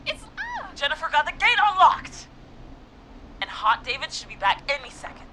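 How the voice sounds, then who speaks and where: A woman's voice: high-pitched, wildly swooping pitch, moderate pace, pushed and bright, heavily acted, poor audio. An actress playing a desperate young woman leading a getaway plan in a video game, with noise behind her voice. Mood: urgent commanding.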